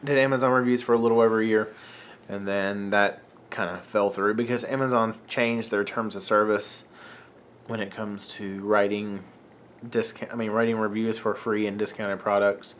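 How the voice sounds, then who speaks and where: A man's voice: lowish voice, flat delivery, moderate pace, a bit bright, slight background noise. A young man from North Carolina chatting in an unedited home vlog, wandering off topic with lots of fillers while fighting a cold. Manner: rambling, filler-heavy, self-interrupting. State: getting a cold, clearing throat. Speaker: vlogger. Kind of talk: casual unedited vlog chat. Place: room at home. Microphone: camera mic, facing camera under ring light.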